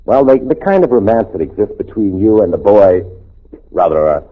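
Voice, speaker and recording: A man playing a suave, kindly, slightly bemused movie star in a 1940s radio comedy, with a husky, gentlemanly delivery. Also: on the low side, expressive, moderate pace, natural voice. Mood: gently fatherly. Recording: tinny, narrow-band old radio recording.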